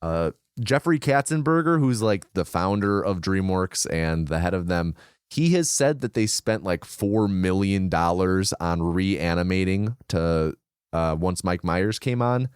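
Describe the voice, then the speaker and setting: A man's voice: sits low, expressive, measured pace, clean tone, no echo, studio quality. A man co-hosting a casual film podcast, relaying researched behind-the-scenes trivia with frequent fillers and restarts. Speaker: podcast co-host.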